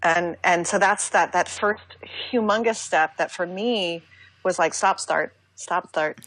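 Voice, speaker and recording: An American woman speaking as an interview guest, talking reflectively in a halting, casual way with many asides. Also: fairly low pitch, fairly bright tone, no echo. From United States. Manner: reflective, halting, with fillers and self-quoting asides. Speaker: interview guest, yoga teacher and author.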